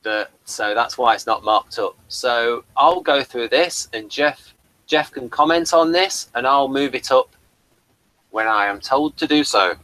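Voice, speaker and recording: A man's voice: mid-range voice, measured pace, bright, forceful tone, rough recording. A man hosting a review show in casual, unscripted chat, rambling and stop-start as he thinks aloud.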